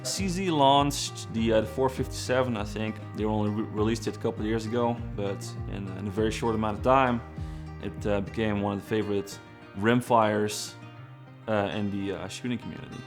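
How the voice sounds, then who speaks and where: A man from Belgium, an engineer, telling his own story in a relaxed, reflective way with fillers and restarts. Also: fairly low pitch, brisk. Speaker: trigger design engineer. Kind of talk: documentary-style personal narration. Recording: music bed underneath. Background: guitar music.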